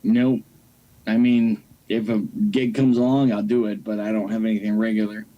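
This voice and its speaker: A man's voice: fairly low pitch, level tone, moderate pace, dull audio. A man bantering casually as a guest, with short, blunt answers. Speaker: DJ and actor, interview guest.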